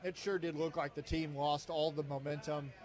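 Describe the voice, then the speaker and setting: A man co-hosting a sports talk show at a remote broadcast, loud and energetic. His voice sits slightly high. He trades casual banter over a headset mic. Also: normal speed. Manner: loud, talks up and yells. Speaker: sports radio co-host. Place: restaurant patio at a remote broadcast. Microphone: studio headset mic.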